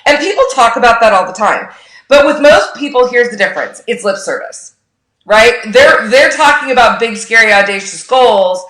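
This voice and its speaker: A woman's voice: fairly high pitch, a bit bright, reverberant, poor audio. A woman hosting a live show in an enthusiastic, informal and emphatic way, gushing as she talks. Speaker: host.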